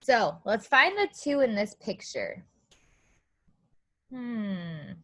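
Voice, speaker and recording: Female preschool teacher, high bright voice, sing-song and encouraging, reading aloud to little kids. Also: extremely slow. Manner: animated, sing-song, encouraging, simple phrasing for young children. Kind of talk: children's read-aloud lesson with questions and counting.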